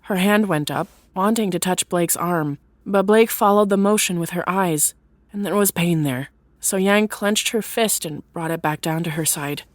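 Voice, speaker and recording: A woman reading scripted third-person story narration in an expressive storytelling manner. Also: lowish voice, moderate pace, no echo.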